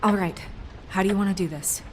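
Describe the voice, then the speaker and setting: A woman's voice: medium pitch, fairly quick, slight background noise, lightly acted. An actress playing a businesslike young woman in a video game, clipped and polite, anxious but composed. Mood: urgent.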